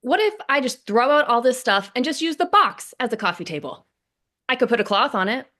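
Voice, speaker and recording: A woman's voice: a little high, very animated, a little fast, fairly bright tone. Awkward, hesitant young man, read by a woman. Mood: exasperated. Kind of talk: sitcom table read.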